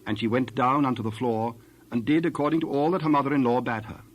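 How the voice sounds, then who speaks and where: Mid-pitched man narrating scripture. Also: fairly even intonation, fast, rough recording.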